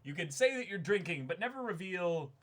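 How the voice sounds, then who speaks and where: A man's voice: high-pitched, expressive, brisk, fairly bright tone. A man co-hosting a stream in casual chat, speaking agreeably and often repeating short affirmations.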